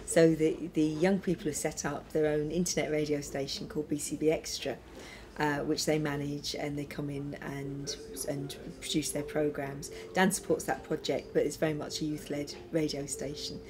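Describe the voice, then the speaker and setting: A woman's voice: low-pitched, mellow, a little hiss or hum. A middle-aged woman giving interview testimony as a radio station director. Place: small office, indoors.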